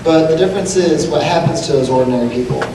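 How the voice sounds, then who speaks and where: A man's voice: a little high, quick pace. A young man, a student, performing a readers theatre monologue on a stage. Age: teens to young adult. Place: school auditorium stage. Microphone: stand mic, heard from distant camera. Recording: echoey hall, distant mic, noisy.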